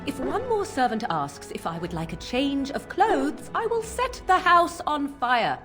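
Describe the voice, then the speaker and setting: Sardonic game heroine, haughty, arch, formal diction, animated intonation, faint music. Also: female voice, high voice, unhurried, heavily acted. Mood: irritated. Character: haughty, sardonic, threatening young woman.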